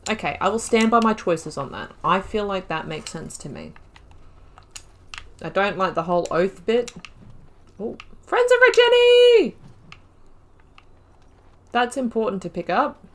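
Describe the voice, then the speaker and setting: A woman's voice: mid-range voice, big pitch swings, a little slow, long pauses. A woman commenting on her own video game playthrough, thinking aloud, rambling and correcting herself mid-sentence with casual, slangy asides. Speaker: gaming channel host. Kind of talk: let's-play commentary.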